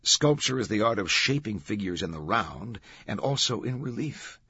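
A man voicing an excitable, urgent male scholar who lectures emphatically in an audiobook thriller. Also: fairly low pitch, moderate pace, slightly rough, no echo, highs cut off, voice pushed up, full character voice. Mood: excited lecturing.

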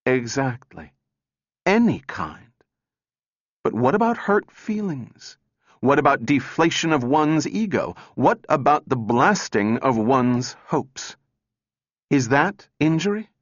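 A man's voice: fairly low pitch, big pitch swings, slow pace, full character voice. A man voicing a sardonic, overwrought woman scientist in a storybook reading, sneering and intense. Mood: sarcastic. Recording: echoey room, dull muffled sound.

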